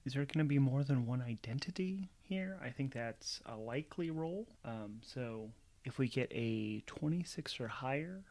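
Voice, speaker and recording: A man's voice: mid-range voice, a little mellow. A man narrating a solo tabletop game as host and game master, in a conversational storytelling manner.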